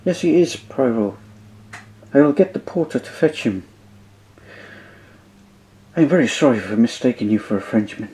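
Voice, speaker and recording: A man's voice: medium pitch, expressive, moderate pace, pauses often, mellow, natural voice, poor audio. A man voicing a polite, formal male station master, in a told story.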